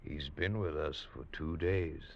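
Casting: sly, formal innkeeper with bass voice, smooth-mannered radio thriller servant, muffled vintage recording. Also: male voice, measured pace, exaggerated voice. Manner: formal, oily politeness. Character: unctuous, secretive male village innkeeper. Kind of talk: 1940s radio drama acting.

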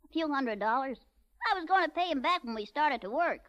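An actor playing an anxious, defensive little-person showman with a small, high-pitched voice in a 1940s radio drama. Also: animated, a little fast, a bit bright, highs cut off. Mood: defensive, dejected.

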